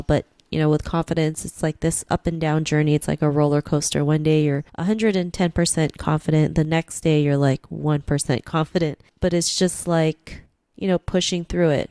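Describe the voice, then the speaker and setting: Podcast host lady, kinda low voice, chatty and upbeat, dead dry sound. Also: dark tone, no room sound. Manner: upbeat, fills with "you know" and "right". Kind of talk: casual interview chat.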